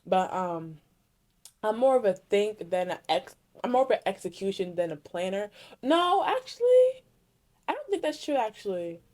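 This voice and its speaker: A woman's voice: medium pitch, sing-song, normal speed, darkish tone. A young woman from Connecticut hosting her own podcast, chatting casually through a solo Q&A and correcting herself as she rambles along. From Connecticut, USA. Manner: rambling, self-correcting, heavy use of "like". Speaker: podcast host.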